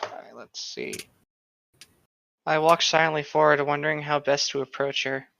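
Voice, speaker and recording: A young man bantering casually and reading aloud with deadpan sarcasm and free swearing. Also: on the high side, normal speed, vocal fry, highs cut off. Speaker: co-host reading and mocking a book.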